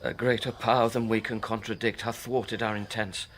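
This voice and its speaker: An actor playing an older, anxious friar in a classical radio drama, formal and urgent. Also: male voice, on the low side, little pitch movement, moderate pace, fairly bright tone, slight background noise, natural voice. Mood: urgent pleading. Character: kindly old friar, anxious and horrified.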